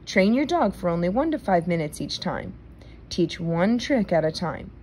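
A woman reading a children's nonfiction book aloud in a careful, deliberate manner. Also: fairly low pitch, sing-song, a little mellow, slight background noise. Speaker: read-aloud reader.

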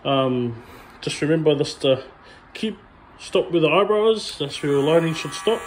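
A young man, a barber, talking through a haircut tutorial in a relaxed, plain way with frequent ums. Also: on the high side, normal speed, slight background noise, poor audio.

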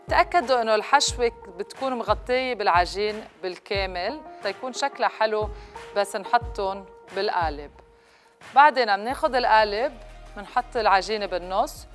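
A woman in her thirties with a Lebanese accent, presenting a recipe to camera and mixing in some English words. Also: mid-range voice. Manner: mixes English words into speech. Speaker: cooking show host. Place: kitchen set, indoors. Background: music.

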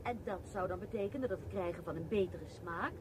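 A woman's voice: lowish voice, a little fast, close to an everyday voice. An actress playing an argumentative, theatrical woman in a radio drama, talking in an emphatic, mock-pompous way. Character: argumentative, theatrical woman debating culture with an older colleague. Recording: clear background noise.

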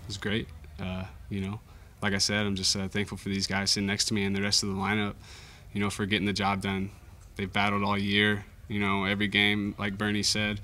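A young man, a college baseball pitcher, giving modest, understated answers at a post-game press conference. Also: monotone, fairly quick, hardly any pauses, pushed and bright, slight background noise. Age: young adult. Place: indoor press conference room. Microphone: table microphone.

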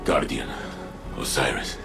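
A man playing a solemn, resolute heroic warrior in a video game, speaking in weighty, deliberate phrases. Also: natural voice. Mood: solemn resolve. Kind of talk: video game character dialogue. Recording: game music behind the voice.

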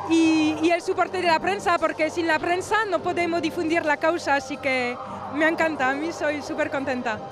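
A middle-aged French woman speaking non-native Spanish with a French accent, enthusiastic, interviewed at a handheld mic in a crowded gallery. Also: extremely high pitch. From France. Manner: enthusiastic, slightly broken Spanish. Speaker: charity president. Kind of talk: street interview. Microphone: handheld reporter mic.

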